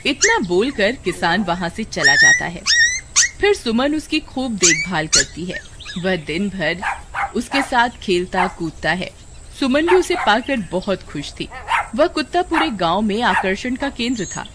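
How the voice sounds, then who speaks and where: A woman's voice: medium pitch, big pitch swings, unhurried. A woman reading scripted narration for a children's story. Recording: very noisy background.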